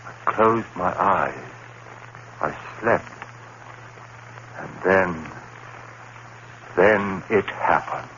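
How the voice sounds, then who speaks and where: A man's voice: fairly low pitch, fairly bright tone. An actor in a 1950s radio suspense play, voicing a dramatic, brooding accused murderer who narrates his thoughts. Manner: brooding, dramatic inner monologue. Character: anguished accused murderer, a biology teacher, haunted narrator. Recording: dull, noisy old radio recording.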